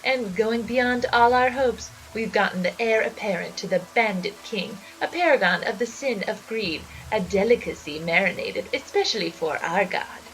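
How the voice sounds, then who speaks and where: A woman's voice: medium pitch, normal speed, hardly any pauses, fairly bright tone, slight background noise, natural voice, poor audio. A woman voicing a scheming flapper cult priestess who gloats in theatrical 1920s slang, in an audio drama. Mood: gloating.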